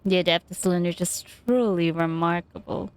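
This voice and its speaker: A woman's voice: fairly low pitch, moderate pace, smooth. A woman giving casual, jokey commentary as she plays a game, chatting along with it. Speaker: streamer playing a game.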